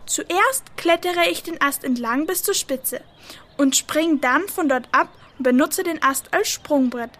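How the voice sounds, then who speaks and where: Little squirrel boy, really high voice, eager n a bit shy, kids radio play. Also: pushed and bright. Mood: earnest. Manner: childlike, hesitant, full of wonder. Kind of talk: German children's audio drama acting.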